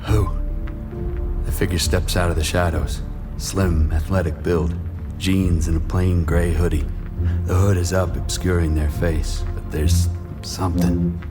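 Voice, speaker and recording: An actor playing a bitter, regretful washed-up boxer, narrating a noir audio drama in brooding, terse sentences. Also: male voice, deep voice, level tone, a little slow, music in the background. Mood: wary.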